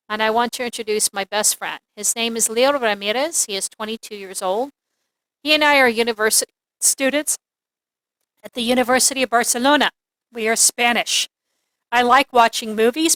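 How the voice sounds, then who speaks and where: A woman gives an English lesson in a bright, fairly high voice. She reads model sentences aloud deliberately, articulating each word for learners. The recording is close and dry. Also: dry recording, poor audio. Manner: deliberate, clearly enunciated, repetitive drilling. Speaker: English teacher. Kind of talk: slow instructional English lesson, reading examples aloud.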